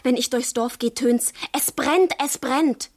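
A young village tomboy in a radio play, with a fairly high, slightly husky voice. She speaks earnestly and frankly, naive and openly in love. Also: a little slow. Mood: earnest, wounded. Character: young village girl in boys' clothes, bold, lovestruck, artless. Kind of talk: literary radio drama acting.

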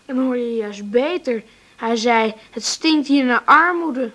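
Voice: mid-range voice, animated, measured pace, bright, forceful tone. A performer playing a sensible, bossy young son who is stuck in bed, matter-of-fact and precocious, in a radio play. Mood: stubborn. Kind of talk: naturalistic radio drama acting.

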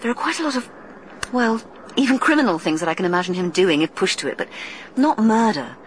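A confident young woman, played by an actress, who sizes people up and talks them into things. She speaks briskly and persuasively in a radio drama, with a faint hiss behind her voice. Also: mid-range voice, animated, close to an everyday voice. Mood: determined. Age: young adult. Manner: brisk, persuasive and self-assured. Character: bold young woman, shrewd and determined. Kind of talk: 1980s radio drama acting.

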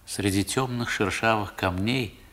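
Casting: deep, measured, reflective narrator for a 1960s literary radio drama. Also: male voice, a bit bright. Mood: wistful, descriptive. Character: reflective grown man recalling his boyhood, narrator.

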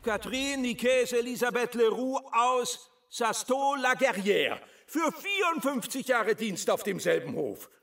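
An actor playing a ceremonial announcer in a radio drama, formally calling out an award in a drawn-out way. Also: male voice, very high voice, gravelly, fairly bright tone, full character voice.

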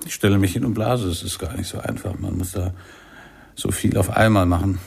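A man playing a weary jazz cornettist in a radio play, musing repetitively in a gravelly voice. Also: deep voice, steady tone, a little fast, darkish tone.

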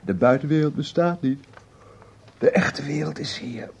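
An actor playing a weary, grumbling lover in a Dutch radio drama, languid and petulant. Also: male voice, fairly high pitch, normal speed, gravelly, slightly rough, exaggerated voice. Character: weary, grumbling, amorous male lover refusing the outside world.

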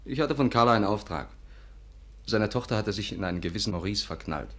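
Low-voiced, persuasive gentleman sleuth. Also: animated, fast. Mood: confiding. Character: smooth, persuasive male amateur sleuth coaxing his sweetheart. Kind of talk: 1950s German radio drama acting. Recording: 1950s radio recording, music behind.

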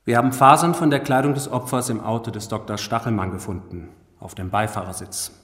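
An actor in a radio crime drama playing a formal, insistent and pointed public prosecutor. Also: male voice, mid-range voice, fairly even intonation, brisk, a bit bright, close to an everyday voice. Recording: echoey hall with long reverb.

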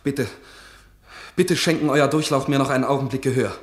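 A man's voice: medium pitch, brisk, natural voice. An actor playing a young army doctor and poet who pleads with his ruler in a radio play, deferential and earnest. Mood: pleading. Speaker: regimental medic and playwright. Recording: roomy radio drama studio.

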